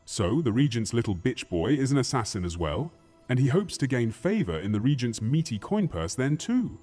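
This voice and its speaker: A man's voice: mid-range voice, very animated, normal speed, warm tone, faint music behind. A man playing a coarse, battle-weary castle warrior, blunt and dramatic, in a fantasy audio drama. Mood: angry, blunt.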